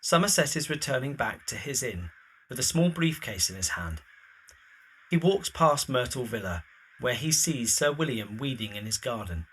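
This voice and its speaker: Audio drama narrator, male: mid-pitched, bright, scripted storytelling delivery. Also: lively intonation, moderate pace, breathy edge, rough recording.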